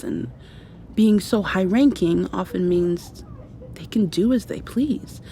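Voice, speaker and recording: Woman playing a slow, soft-spoken, tender vampire girlfriend. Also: fairly low pitch, slight background noise. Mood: serious, explanatory. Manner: soft, tender, unhurried, thoughtful pauses. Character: gentle, affectionate young female vampire confiding in her girlfriend. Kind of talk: intimate ASMR roleplay.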